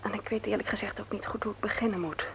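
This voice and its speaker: A woman's voice: mid-range voice, fairly even intonation, fast talker, vocal fry, a bit bright, breathy edge, whispered, full character voice. A woman playing a hesitant, troubled young mother in a radio play. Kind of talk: Dutch radio play acting. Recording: noisy old radio play recording.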